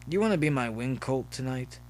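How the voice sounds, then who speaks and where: A crude, druggy young stud pony, as a male narrator puts him on drawls like a slacker. He is laid-back and lecherous, in a middling male pitch. Faint noise hums behind this story reading. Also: animated, fairly quick, a little mellow, lightly acted. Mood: eager.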